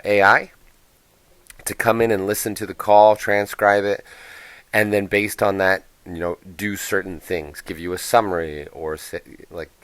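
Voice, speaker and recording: A man presenting a casual software walkthrough in a plain-spoken, explanatory, off-the-cuff way. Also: deep voice, no room sound.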